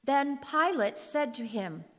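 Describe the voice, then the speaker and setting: A woman narrating scripture from a script for reader's theater, in a measured, solemn manner. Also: high voice, very animated, a bit bright. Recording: long hall reverb.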